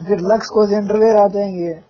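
A man's voice: high voice, fairly even intonation, moderate pace, slight background noise. A young man on a casual phone call, ranting insistently with playful threats in a nagging manner. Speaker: caller, gaming fan. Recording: muffled lo-fi phone call recording.

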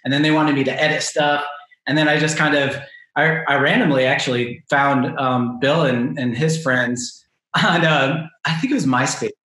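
A man in his thirties, a filmmaker guest speaking casually over a video call from a small room, relaxed and rambling. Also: fairly high pitch, normal speed. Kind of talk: casual interview answers. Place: small home room with shelves. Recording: roomy webcam sound.

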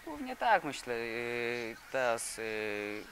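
Young man, 20s, boxer, bright tone, hesitant, repeats words, handheld mic, faint background hiss. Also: medium pitch, huge pitch swings. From Poland. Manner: halting, many fillers and repeated words. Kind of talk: interview answers. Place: indoor boxing gym. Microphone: handheld reporter mic.

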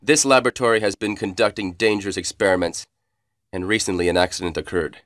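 An actor playing an arrogant, cold villainous commander in a video game, with theatrical, condescending menace and stilted delivery. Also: male voice, low voice, steady tone, fast talker, a bit bright, no echo, studio quality. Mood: arrogant, menacing.

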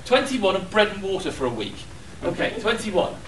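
Lively male auctioneer, high animated voice, echoey function room from afar. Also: moderate pace, slight background noise. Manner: lively, self-mocking, rattles off bids. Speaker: charity auctioneer. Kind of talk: jokey auction patter. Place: hotel function room at a convention. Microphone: far room camera. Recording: echoey room, distant camera.

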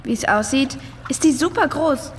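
A caring, curious girl acted by a woman in a children's radio play. Her voice is high and rings with long reverb. Clear background noise surrounds her. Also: expressive, normal speed. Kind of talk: children's audio drama acting. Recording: long cave-like reverb, noisy water ambience.

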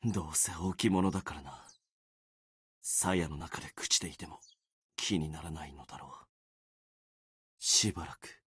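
A man voicing a gloomy, self-deprecating young warrior sword spirit in a video game, brooding and resigned, with a husky voice. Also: fairly bright tone, no room sound, studio quality, heavily acted. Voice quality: low, husky. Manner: brooding, resigned, muttering with trailing pauses. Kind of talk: Japanese video game character acting.

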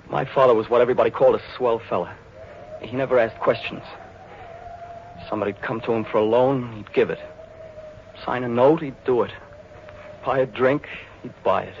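A man's voice: mid-range voice, normal speed, pauses often, lightly acted. An actor playing a guarded, serious working-class teenage boy, terse and defiant, in a 1940s radio drama. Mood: bitter, defiant. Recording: muffled, noisy 1940s radio recording.

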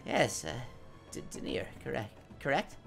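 A man's voice: mid-range voice, very animated, normal speed, gravelly, fairly bright tone, soft background music, heavily acted. A man voicing a nervous little gnome tinkerer who stammers and repeats his words. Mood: flustered.

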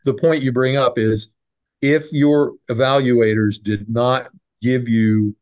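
A man's voice: medium pitch, steady tone, slow, darkish tone, no echo, poor audio. A middle-aged man hosting a casual video call from home, stumbling over repeated words and restarts and dropping folksy sayings. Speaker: clinic host. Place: home room. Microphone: video call webcam.

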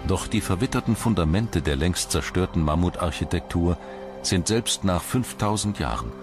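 A man narrates a documentary from a script in standard German. His voice sits very low, and music plays clearly behind him. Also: expressive, moderate pace. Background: background music.

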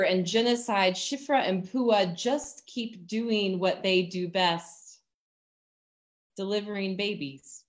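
A woman in her thirties with an American accent gives a sermon in measured, deliberate phrases with emphatic pauses, over a video call from a room at home. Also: medium pitch. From Virginia, United States. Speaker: pastor. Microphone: computer mic on a video call.

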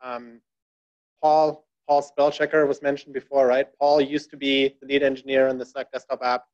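A man's voice: mid-range voice, flat delivery, normal speed, darkish tone. Casual man giving a meetup talk. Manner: conversational, many fillers, wry asides. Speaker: conference speaker. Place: dark presentation room. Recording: dull lo-fi room recording.

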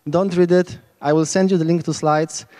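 A man's voice: on the high side, fairly even intonation, moderate pace, a little mellow, in a large hall. A man in his thirties with a Polish accent, giving a rambling, enthusiastic lightning talk into a handheld mic at a camp stage. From Poland. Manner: rambling, enthusiastic, many restarts. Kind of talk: informal conference talk. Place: large tent stage at hacker camp.

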